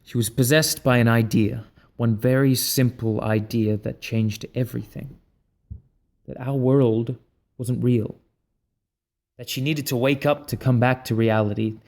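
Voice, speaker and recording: A man playing a haunted, intense leader of dream thieves in an audio drama, speaking in a brooding, deliberate way. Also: mid-range voice, fairly even intonation, vocal fry, a little mellow. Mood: haunted, regretful.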